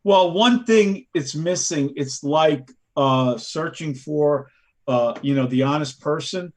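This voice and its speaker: A man's voice: fairly high pitch, slow pace. A middle-aged man moderating a panel, talking conversationally and telling stories. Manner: conversational, storytelling with fillers. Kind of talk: panel discussion.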